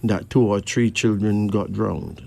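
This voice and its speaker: A man from Barbados with a Caribbean accent, hosting a late-night radio show in a measured, deliberate way with pauses between phrases. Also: on the low side, steady tone, creaky, mellow. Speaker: radio host giving readings to callers. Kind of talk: late-night radio talk, slow monologue and caller chat. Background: faint music.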